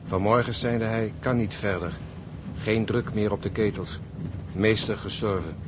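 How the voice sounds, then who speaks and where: An actor playing a solemn, pious ship's officer in a radio drama, speaking gravely and soberly. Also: male voice, steady tone, measured pace, lightly acted. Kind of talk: Dutch radio drama acting. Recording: rumbling engine noise behind.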